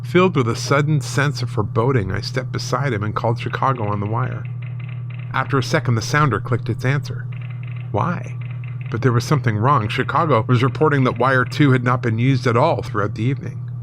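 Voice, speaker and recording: Male narrator, measured and solemn, mid-pitched, reading scripted horror with clear background hum. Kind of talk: dramatic scripted first-person narration.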